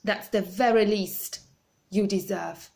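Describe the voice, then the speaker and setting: A woman voicing an excitable older lady neighbor in a storybook reading, with a shrill, breathless, gushing manner. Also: slow, gravelly.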